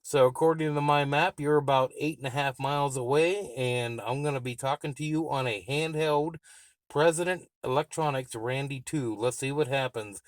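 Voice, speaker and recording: An American man speaks in a casual, plain-spoken way, like a hobbyist showing off his gear. His pitch is ordinary for a man. He sounds enthusiastic as he reviews and checks radio equipment. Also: normal speed.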